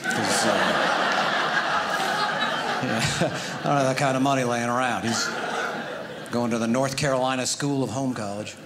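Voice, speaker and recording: A middle-aged American man performing a stand-up comedy set into a handheld microphone on a theater stage, animated and breaking into loud mock scolding. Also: medium pitch, unhurried, pauses often, noisy background. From United States. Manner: animated, jumps into loud shouting when acting out scolding. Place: theater stage with a live audience. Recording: roomy theater sound with audience laughter.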